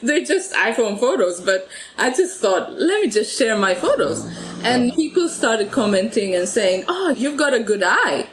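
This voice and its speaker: A woman's voice: fairly high pitch, very animated, moderate pace, poor audio. Chatty woman guest with faint noise. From Ethiopia. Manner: warm, laughs often, restarts words. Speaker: podcast guest, photographer. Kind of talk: conversational interview.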